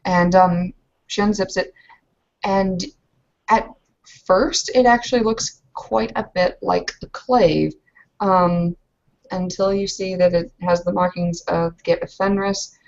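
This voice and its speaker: A woman's voice: fairly low pitch, gravelly, a little mellow. A woman improvising narration for a tabletop roleplaying game in a casual, hesitant way. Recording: muffled lo-fi voice recording.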